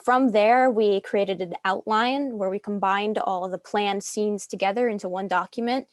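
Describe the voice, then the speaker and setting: A woman's voice: mid-range voice, steady tone, moderate pace, no room sound. Young woman explaining, dry close sound. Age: young adult. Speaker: student story editor. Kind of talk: casual process explanation.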